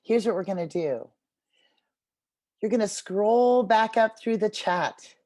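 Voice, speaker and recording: A woman leads an online workshop on a video call. Her voice is relaxed and mellow, at a medium pitch. She gives instructions to the group in an encouraging way. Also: slow pace. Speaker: workshop facilitator. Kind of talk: guiding an online group writing activity.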